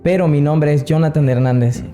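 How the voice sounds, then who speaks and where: A Salvadoran-accented man gives a personal testimony as an interview guest. His voice is very soft-edged and dark, pitched a little high for a man. Faint music plays underneath. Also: a little fast. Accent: Salvadoran Spanish. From San Salvador, El Salvador. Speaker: interview guest, worship singer. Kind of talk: personal testimony, casual storytelling.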